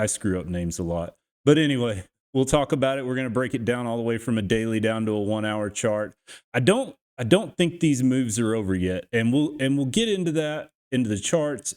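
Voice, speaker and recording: A man's voice: mid-range voice, a little mellow. The Texan man you'd hear on an online trading channel, chatting folksily through market charts like a neighbor over the fence. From Texas, USA. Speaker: host of a crypto trading channel. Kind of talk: casual market chart commentary.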